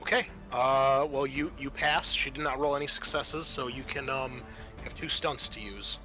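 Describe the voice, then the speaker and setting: A man with a very bright, forward voice at a middle pitch runs a tabletop game over background music. He narrates casually and haltingly, working things out as he speaks. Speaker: game master.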